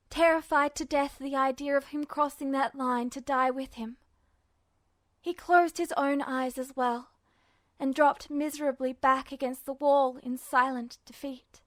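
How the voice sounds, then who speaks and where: Female story narrator, high voice, dramatic fiction reading, dry close sound. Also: fairly even intonation, unhurried, no echo.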